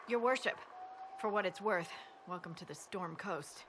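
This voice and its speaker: A woman's voice: fairly low pitch, normal speed, noisy background, natural voice. An actress playing a courteous female military scout in a video game, giving respectful, orderly reports with a dry touch. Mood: formal, concerned.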